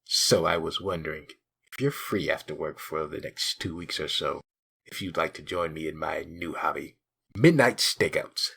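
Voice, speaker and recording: A man playing a dry, polite, scheming police sidekick in a comic dub, with a deep raspy voice and formal, measured, deadpan delivery. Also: full character voice. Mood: sly.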